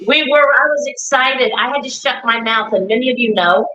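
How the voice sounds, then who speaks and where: A talkative woman with a loud, sharp, bright voice, speaking on a live stream. She circles back over her points and repeats words as she goes. The sound is dull and lo-fi, from an echoey room. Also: sits high, slight background noise. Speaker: guest, bereaved mother.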